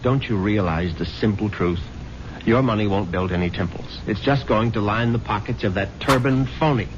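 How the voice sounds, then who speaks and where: An American actor playing a scheming, exasperated husband in a 1970s radio drama, sardonic and theatrical. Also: male voice, lowish voice, normal speed, noisy background, lightly acted. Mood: incredulous, exasperated. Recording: dull vintage radio broadcast, music behind.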